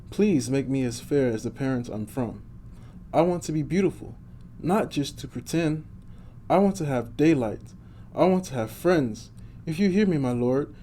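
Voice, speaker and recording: A man's voice: on the high side, warm tone, slight background noise, voice pushed up. A man voicing an earnest, sad little girl who prays pleadingly, in a storybook reading.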